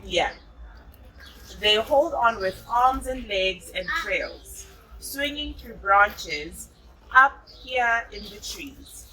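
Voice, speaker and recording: A woman's voice: fairly high pitch, lively intonation, drawn-out words, pushed and bright. A woman reading a picture book aloud to children, patient and encouraging, adding chatty asides and echoing what they say. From South Africa. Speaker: storybook reader.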